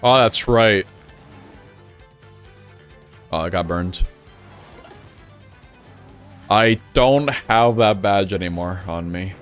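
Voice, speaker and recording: A man's voice: on the low side, drawn-out words, long pauses, smooth, soft background music. A man from Puerto Rico whose first language is Spanish, casually commenting while playing a game and thinking aloud. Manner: relaxed, chatty, thinking aloud. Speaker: streamer.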